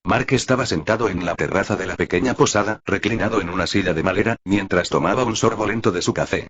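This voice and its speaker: Male narrator for prose fiction: deep register, husky edge, slightly muffled lo-fi sound. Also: quick pace, fairly bright tone. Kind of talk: scripted audiobook narration of fan fiction.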